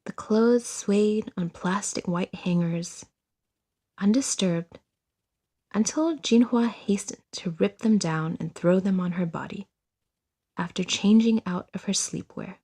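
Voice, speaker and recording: A woman's voice: mid-range voice, slow pace, mellow. A woman narrating a scripted audio drama story.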